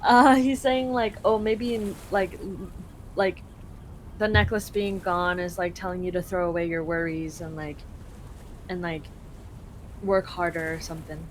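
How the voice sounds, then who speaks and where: A woman's voice: lowish voice, steady tone, a little slow, a little hiss or hum, poor audio. A young woman from Canada translating a game live for a friend, chatty and full of fillers.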